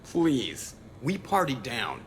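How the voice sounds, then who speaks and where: A man's voice: mid-range voice, very animated, slow pace, slight background noise. A man playing an irreverent, wisecracking young starship pilot in a video game, casual and sarcastic. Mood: flippant.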